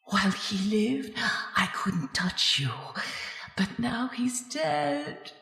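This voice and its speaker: A woman's voice: on the high side, animated, a bit bright, exaggerated voice. Creepy old vampire lady, super drawn out n insistent, big echoey reverb w music, radio horror play. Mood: menacing. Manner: slow, insistent, breathy whispering and gloating. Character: menacing undead old woman, bloodthirsty vampire. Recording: long echoing reverb with music behind.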